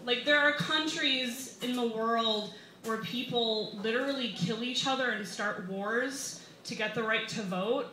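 A young American woman reading a comic personal essay to a live audience, with wry, deliberate emphasis. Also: fairly high pitch, pushed and bright. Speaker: stand-up comedian reading an essay. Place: small brick-walled bar, indoors. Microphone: stand microphone, camera recording. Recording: roomy live bar recording, some background noise.

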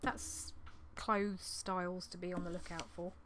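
Chatty, slightly hoarse woman vlogging. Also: lowish voice, level tone, unhurried, background noise. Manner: rambling, apologetic, chatty. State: slightly hoarse, losing her voice. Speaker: clothing reseller vlogger. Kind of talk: casual chatty vlog. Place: small indoor craft room. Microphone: desktop condenser mic on an arm.

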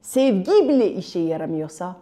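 A middle-aged woman telling a children's fairy tale expressively, with dramatic pauses. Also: lowish voice, very animated, warm tone. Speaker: children's storyteller. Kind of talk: performed fairy-tale storytelling. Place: bright room at home by a window. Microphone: small clip-on lapel mic.

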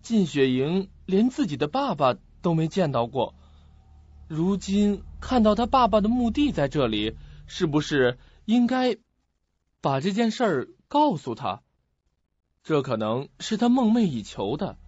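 A husky, fairly high-voiced young man played by a male actor in a radio drama. He is earnest and hesitant, speaking in halting, reflective phrases. The recording is dull and lo-fi. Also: big pitch swings, a little mellow, no echo, heavily acted. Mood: pensive. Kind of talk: Mandarin radio drama acting.

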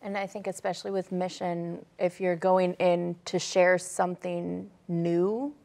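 A woman's voice: fairly low pitch, level tone, measured pace, gravelly, dark tone. A woman in her thirties talking conversationally on a studio discussion panel, wearing a lapel mic. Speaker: panelist on a religious study show.

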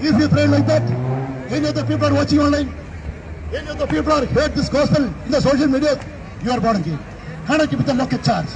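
High-voiced man preaching in a busy plaza. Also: fast. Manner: emphatic, repetitive declarations. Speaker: street preacher. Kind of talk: open-air street sermon. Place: outdoor city plaza by a railway station. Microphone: handheld microphone. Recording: crowd and city noise outdoors.

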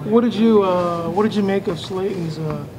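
Reporter guy with a high voice, keeps repeating himself, loud background hum. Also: normal speed. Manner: stammering, repeats words. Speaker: sports reporter. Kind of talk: press scrum questions.

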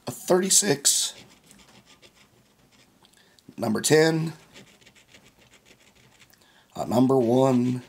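A man hosting his own scratch-off ticket channel, hoarse and raspy after losing his voice, talking in a slow, plain way and reading numbers out one by one. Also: mid-range voice, darkish tone. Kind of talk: casual vlog narration.

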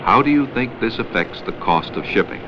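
An American man reading scripted narration for a 1970 educational film in a measured, instructional way. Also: fairly low pitch, lively intonation, very noisy. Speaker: documentary narrator. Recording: dull vintage 16mm film soundtrack, music.

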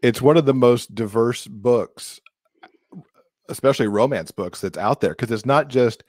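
A man's voice: mid-range voice, unhurried, darkish tone, no room sound. A middle-aged American man hosting a conversational author interview, speaking into a close microphone. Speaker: podcast co-host interviewing an author. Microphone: large close microphone, video call.

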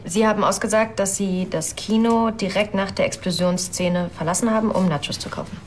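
A woman's voice: medium pitch, brisk, a little hiss or hum, lightly acted. An actress playing a composed, factual female police officer in a crime drama. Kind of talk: naturalistic TV crime drama acting.

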